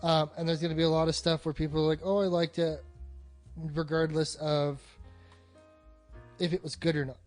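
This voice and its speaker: A man's voice: fairly high pitch, level tone, normal speed, long pauses, darkish tone, faint music behind. A man talking casually and emphatically about films as a podcast co-host, opinionated and swearing freely. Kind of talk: casual film discussion chat.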